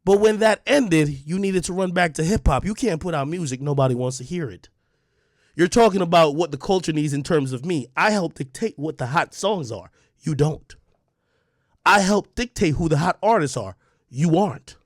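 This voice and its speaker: A young man rants hard and confrontationally, hammering the same points over and over. His voice sits a touch high, and the sound is dry and close with no room around it. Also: lively intonation, normal speed, no room sound. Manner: confrontational, heated, repeats points. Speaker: online media personality. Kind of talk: direct-to-camera rant.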